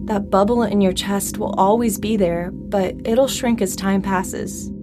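Actress as a frank young best friend, music behind. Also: female voice, medium pitch, steady tone, measured pace, natural voice. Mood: earnest, consoling. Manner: frank, wry, gentle. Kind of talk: naturalistic audio drama acting.

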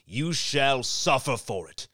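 A man's voice: medium pitch, animated, takes it slowly, pushed and bright, dry recording, clean studio sound, exaggerated voice. A man voicing a proud, stern wren king and protective father in a storybook, stately and declamatory. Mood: stern, threatening. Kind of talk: storybook character acting.

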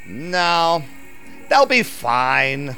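A man's voice: on the high side, big pitch swings, drawn-out words, sharp tone, soft background music, full character voice. An actor playing a shady, smug vampire businessman who owns a club, with suave, theatrical delivery in an audio drama. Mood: pleased, commanding. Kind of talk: audio drama narration and dialogue.

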